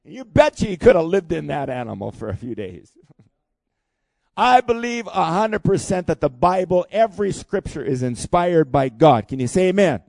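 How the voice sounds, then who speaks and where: Gravelly, animated preacher man. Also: high voice, moderate pace, dry recording. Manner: animated preaching with call-and-response. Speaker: pastor. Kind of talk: sermon. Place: church hall.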